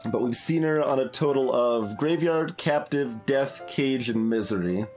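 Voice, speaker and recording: A man's voice: medium pitch, unhurried, darkish tone. A man giving casual live game commentary as a streamer, thinking aloud in a hesitant, musing way and trailing off mid-thought. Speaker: streamer, let's play host. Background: game music.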